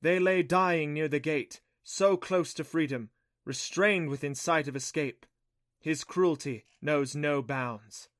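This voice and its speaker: A man voicing a solemn goddess who speaks prophecy in a heavy, slow, commanding voice for a fantasy story. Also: a little high, fairly bright tone, no echo, studio quality. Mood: ominous. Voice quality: heavy voice, hissing.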